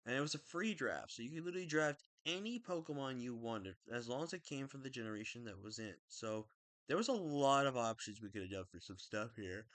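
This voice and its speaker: A young man talking casually about his game team, rambling and correcting himself, with a slightly raspy throat. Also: mid-range voice, brisk, dry recording. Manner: rambling, self-correcting, excitable. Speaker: draft league player. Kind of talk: casual video commentary.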